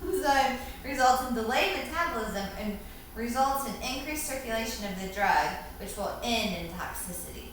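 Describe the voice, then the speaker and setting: A woman's voice: on the high side, lively intonation, moderate pace. A woman in the role of a student, giving scripted answers in a formal, careful recital of definitions. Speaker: student answering quiz questions. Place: reverberant indoor room, distant microphone. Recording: very echoey room, far mic, faint hiss.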